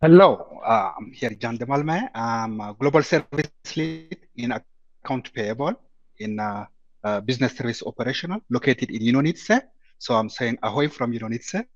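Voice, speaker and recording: A man with a French accent introducing himself haltingly and informally on a video call. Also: medium pitch, lively intonation, no echo. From France. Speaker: guest, accounts payable lead. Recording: dry, slightly dull call audio.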